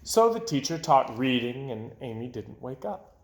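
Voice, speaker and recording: A man reading a children's picture book aloud as an animated storyteller. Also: mid-range voice, moderate pace. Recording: roomy, echoing sound.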